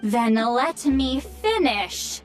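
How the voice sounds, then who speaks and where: A woman's voice: a little high, very animated, extremely slow, faint music behind, heavily acted. A woman playing a proud, blunt and impatient female shaman in a video game, brusque and challenging. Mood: pointed, confident.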